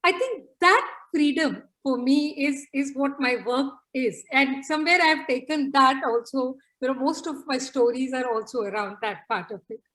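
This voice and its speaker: A woman's voice: sits high, moderate pace, few pauses, fairly bright tone, poor audio. A woman speaking earnestly in an interview, often restarting and adding "you know". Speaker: author interviewee. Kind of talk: reflective interview talk.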